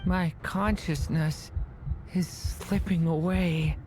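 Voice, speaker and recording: A woman voicing a gentle, earnest, hesitant young dragon boy in a video game. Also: medium pitch, animated, slow, soft background music. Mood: weak, struggling. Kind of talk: video game cutscene acting.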